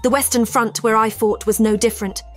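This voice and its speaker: Woman playing a dry, deadpan, proud war machine. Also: fairly high pitch, a little fast, faint music behind, lightly acted. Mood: reserved, somber. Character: proud, dry-witted female personified WWII jet fighter. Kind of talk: scripted audio drama dialogue.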